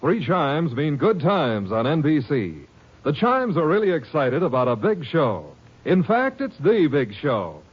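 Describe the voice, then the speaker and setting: Lively upbeat radio promo announcer. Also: male voice, a little high, measured pace, husky. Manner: upbeat, salesy. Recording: dull lo-fi 1950 radio broadcast.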